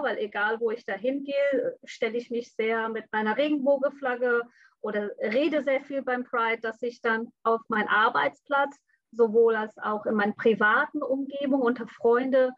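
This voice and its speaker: A woman's voice: a little high, no echo, lo-fi sound. A young woman who grew up in Bangladesh talks openly and reflectively as an interview guest, with many fillers. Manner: open, frank and reflective, with frequent fillers. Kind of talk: conversational interview.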